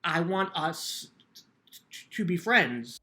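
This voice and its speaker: A man's voice: a little high, expressive, slow, sharp tone, full character voice. A man playing an earnest, lonely teenage boy who tells his own story in plainspoken, cheeky words. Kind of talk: first-person dramatic book reading.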